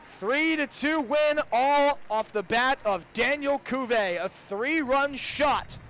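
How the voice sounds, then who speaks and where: A young man with a high-pitched voice gives live baseball play-by-play on student radio. His calling is detailed and descriptive, jumping in intensity when the action heats up. Light outdoor ballpark noise sits behind him. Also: slow. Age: young adult. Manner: excited surges on big plays, detailed descriptive calling. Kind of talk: live radio sports commentary. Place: outdoor baseball stadium broadcast. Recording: noisy outdoor ballpark ambience.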